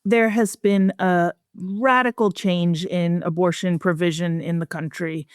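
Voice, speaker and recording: A woman's voice: on the low side, steady tone, a little slow, smooth, dry recording, clean studio sound. A woman speaking as an expert guest, explaining things in articulate, plain-spoken interview answers. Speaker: expert guest, professor and researcher.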